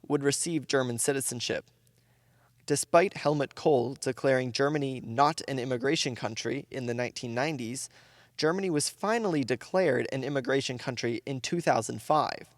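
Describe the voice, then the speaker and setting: A man hosting a podcast, reading a prepared script in a measured, even narration. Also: medium pitch, dry recording, clean studio sound.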